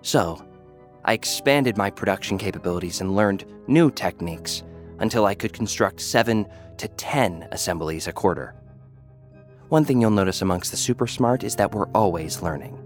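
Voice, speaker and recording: A man's voice: sits low, moderate pace. Smug man narrating an audio drama over music. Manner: arrogant, condescending, dry sarcasm. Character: arrogant male scientist who builds people. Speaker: narrator and lead character. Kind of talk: scripted first-person audio drama narration.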